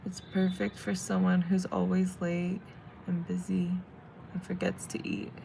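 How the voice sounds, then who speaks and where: A young woman rambles casually to her livestream viewers, her voice low and gravelly with some vocal fry. She chats loosely while doing her makeup. Faint background noise sits under the recording. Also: level tone, measured pace, mellow. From San Diego, California. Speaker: livestreamer and tarot reader. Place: home in Vietnam.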